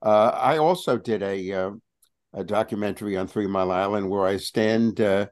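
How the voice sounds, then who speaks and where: A man talking as a guest on a video call, halting with many pauses and restarts. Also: lowish voice, darkish tone, dry recording, studio quality. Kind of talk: casual talk over video call.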